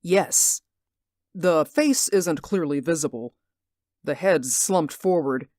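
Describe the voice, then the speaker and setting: A woman's voice: sits low, slow, a little mellow, dry recording, clean studio sound. A woman playing an anxious, insistent man in a radio drama, speaking earnestly and emphatically. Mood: earnest, uneasy. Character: anxious, insistent male stage actor spying on neighbours.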